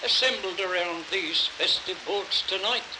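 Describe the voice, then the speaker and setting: Old crackly 78 record, aussie man doing a formal toast, high voice, slow solemn oratory. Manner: oratorical, solemn, deliberate with measured pauses. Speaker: speaker proposing a toast at a lodge dinner. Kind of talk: formal ceremonial after-dinner speech. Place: lodge dinner gathering. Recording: noisy crackling mid-century 78rpm acetate record.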